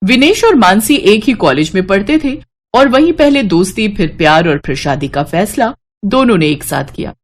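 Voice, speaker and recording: A woman reads scripted narration for a family moral story. She works as a storyteller, with a voice of average female pitch. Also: expressive, normal speed.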